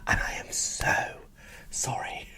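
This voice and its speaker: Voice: slow pace, sharp tone. Whispering ASMR creator. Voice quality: breathy whisper. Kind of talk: whispered ASMR unboxing commentary.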